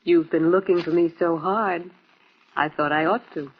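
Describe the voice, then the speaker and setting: A woman's voice: lowish voice, steady tone, moderate pace, slight background noise, lightly acted. A mysterious femme fatale acted by a woman in old radio noir. She is composed and enigmatic, with a controlled delivery. The sound is muffled and dull. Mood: calm, secretive. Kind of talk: 1950s radio noir acting. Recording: muffled vintage radio recording.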